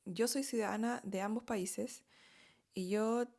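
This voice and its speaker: A middle-aged woman with a Chilean Spanish accent speaks candidly to her viewers in a relaxed storytime monologue. Her delivery is chatty and open, full of fillers, small laughs and frequent "¿ok?" checks. Her pitch sits in the middle for a woman. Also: slow, vocal fry. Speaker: vlogger telling her story.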